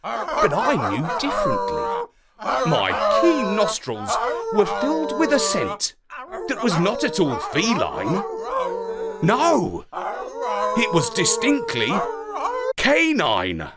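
A man's voice: high-pitched, huge pitch swings, takes it slowly, long pauses, over a noisy crowd. A man reading a children's story in a dramatic, playful way, as scripted narration.

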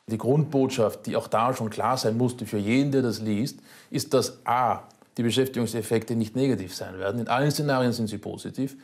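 A man, an economist, answering interview questions in a measured, hesitant way with fillers. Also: medium pitch.

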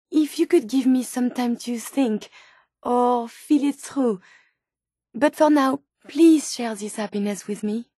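A woman's voice: high-pitched, dark tone, slightly rough, dry recording, studio quality. An actress playing an earnest, curious female medical robot turned human in a video game, with a French accent and precise, formal diction. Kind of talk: video game character dialogue.

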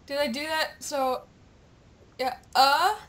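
A young woman gamer with a playful, excitable, teasing manner, chatting over gameplay and reading game dialogue aloud. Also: high-pitched, takes it slowly. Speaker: gamer, video host.

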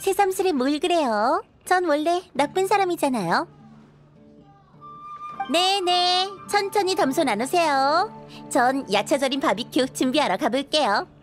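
An actress playing a polite, gently cheeky young tavern waitress with a courteous service manner in a video game. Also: female voice, high-pitched, animated, a little slow, faint music behind.

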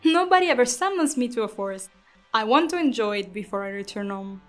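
Woman playing a high-voiced, kindly nature goddess. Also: animated, a little fast, a bit bright, faint music behind. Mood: serene, gracious. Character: serene, kindly nature goddess, untroubled by mortals. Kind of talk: fantasy audio drama acting.

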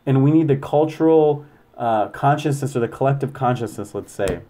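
A young man in his twenties, a podcast guest, explaining things quickly and animatedly over a video call. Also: mid-range voice, dark tone. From United States, Persian-speaking family. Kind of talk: casual podcast conversation.

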